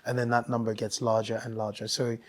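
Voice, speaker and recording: A man from Nigeria, a guest in a casual interview, talking thoughtfully with many pauses and restarts. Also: medium pitch, level tone.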